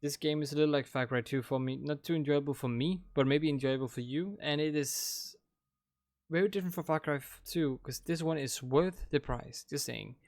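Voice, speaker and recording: A man's voice: a little high, moderate pace, a little mellow, no echo, clean studio sound. A young man with a Danish accent, rambling casually with lots of fillers as he wraps up a game playthrough for his channel. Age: young adult. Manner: rambling, hesitant, with many fillers and restarts. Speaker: gaming channel host. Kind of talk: casual commentary wrapping up a playthrough. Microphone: desk microphone.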